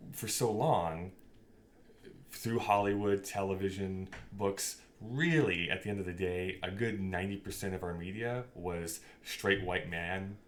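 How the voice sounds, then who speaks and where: A man's voice: low voice, a little slow. A man in a casual conversational discussion, speaking in an emphatic, opinionated and blunt way as a podcast co-host. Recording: clearly noisy background ambience.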